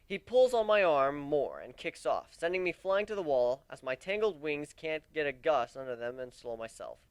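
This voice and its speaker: A man giving a theatrical, expressive first-person dramatic reading of a story. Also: a little high, moderate pace, clear, resonant tone, no echo. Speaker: amateur reader of fan fiction.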